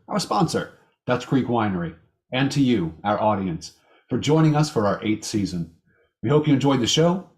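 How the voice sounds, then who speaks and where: In an echoing room, a man delivers a scripted radio announcement. His voice is medium in pitch and varies widely in intonation, in the style of a vintage radio presenter. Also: darkish tone. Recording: roomy, echoing voice track.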